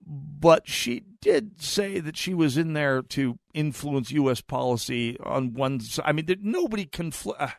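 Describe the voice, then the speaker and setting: A man's voice: fairly high pitch, animated, measured pace, creaky, hardly any pauses, no room sound, clean studio sound. An American man, middle-aged, hosting a talk radio show in a chatty, digressive monologue with frequent restarts. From United States. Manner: chatty, digressive, repeats words when restarting.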